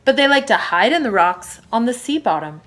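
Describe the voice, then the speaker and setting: A woman plays a fisherman dad from a children's storybook. He is calm and knows his trade, and teaches with firm emphasis and a lively, moving pitch. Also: a little fast. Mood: instructive.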